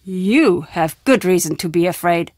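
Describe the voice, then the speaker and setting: A woman playing an enigmatic, foreboding traveling storyteller in an adventure game, stern and deliberate in her phrasing. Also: on the low side, animated, darkish tone, no room sound, clean studio sound. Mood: grave, insistent. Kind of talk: adventure game character acting.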